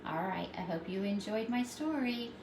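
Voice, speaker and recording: A woman's voice: medium pitch, expressive, normal speed, background noise. A woman pre-K teacher reading a storybook aloud to young children, expressively and with simple explanations.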